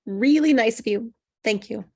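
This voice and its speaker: A woman playing an awkward, quietly competitive single woman in a screenplay table read, conversational and defensive. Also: fairly high pitch, normal speed, husky. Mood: polite, guarded.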